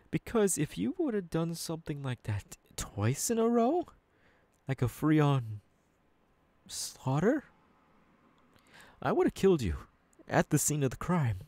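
A man playing a menacing, unhinged young supervillain boss in an ASMR audio roleplay, threatening his underlings slowly and deliberately. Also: on the high side, dark tone, no echo, heavily acted.

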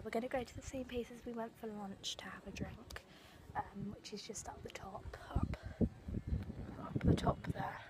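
A teenage girl chatting casually into a phone held at arm's length outdoors, rambling with lots of ums and likes. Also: medium pitch. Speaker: vlogger. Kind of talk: casual vlog chat. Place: outdoors at a sunny marina and woodland path. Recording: noisy outdoor phone recording.

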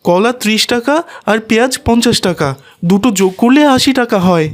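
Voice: medium pitch, a little fast, natural voice. An actor voicing an eager, dutiful young schoolboy in a cartoon, speaking earnestly and politely. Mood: earnest, proud. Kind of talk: animated cartoon dialogue.

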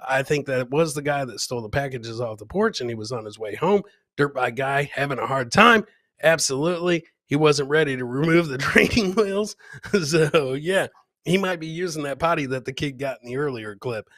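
Bright-voiced middle-aged man hosting comedy. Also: medium pitch, dry recording, clean studio sound. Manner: jokey, repeats his phrases. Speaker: comedy show host. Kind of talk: casual live commentary on viral clips, chatting with viewers. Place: indoor home studio, webcam livestream.

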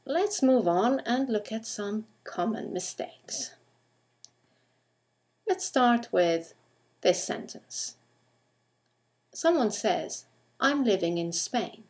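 A woman's voice: medium pitch, a little mellow. A woman teacher narrating a scripted grammar lesson, enunciating carefully and putting questions to the listener.

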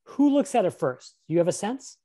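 Man, career workshop presenter on a webcam, lively pitch, mellow tone, upbeat and encouraging. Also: fairly quick. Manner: upbeat, encouraging, asks questions. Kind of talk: live webinar presentation. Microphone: webcam on a video call.

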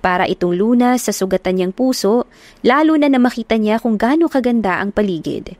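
A woman narrating a romance audiobook in a steady, earnest storytelling manner, recorded on a close mic. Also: a little high, brisk, no echo.

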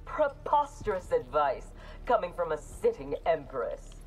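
A woman's voice: low voice, big pitch swings, measured pace, poor audio. A woman playing a proud, cold, ambitious armored warrior in a video game, stern and formal. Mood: scornful. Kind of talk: video game dramatic dialogue. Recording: music behind the voice.

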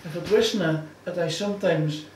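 A woman's voice: on the low side, unhurried, darkish tone, slight background noise. A woman in her sixties with a Shetland accent chatting plainly across a kitchen table. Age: 60s. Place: home kitchen indoors. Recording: echoey kitchen from far off.

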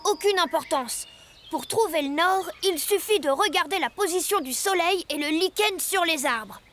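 High-voiced pedantic cartoon kid. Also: female voice, lively intonation, moderate pace, a bit bright, a little hiss or hum. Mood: self-assured. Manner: pedantic, precise. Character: brainy, pedantic, self-assured schoolchild in a cartoon.

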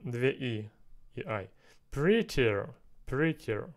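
A man in his thirties on a headset mic in a small room, working through language drills out loud, repeating and translating each phrase and muttering to himself. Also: medium pitch, very animated, extremely slow. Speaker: language learner streaming a lesson. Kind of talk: thinking aloud while doing app exercises.

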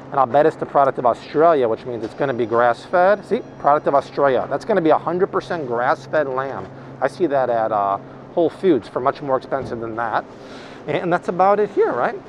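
Upbeat guy filming himself on a phone in a big grocery store, faint store noise behind. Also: mid-range voice. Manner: upbeat, chatty product commentary. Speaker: host. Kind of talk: shop-along grocery vlog. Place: large grocery store, indoors. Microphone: phone held at arm's length.

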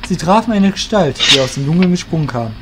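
A man's voice: on the high side, lively intonation, fast talker, very noisy. A man narrating a fantasy audio drama from a script.

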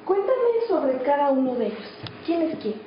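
A woman's voice: high-pitched, big pitch swings, normal speed. A woman with a Mexican accent presenting and asking questions as an interviewer. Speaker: interviewer and reporter. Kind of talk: interview questions and scripted introductions. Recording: echoey, muffled, faint noise.

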